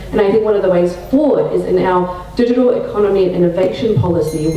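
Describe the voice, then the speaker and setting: A woman, a political candidate answering earnestly, halting over some words. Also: mid-range voice, warm tone, a little hiss or hum. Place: community centre hall. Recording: echoey hall, distant mic.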